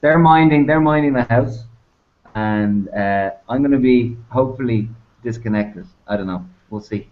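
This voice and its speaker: A man's voice: mid-range voice, moderate pace. A man co-hosting an online talk show, chatting in a rambling, informal, sweary way over a lo-fi internet call. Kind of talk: casual two-person chat. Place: remote online video hangout.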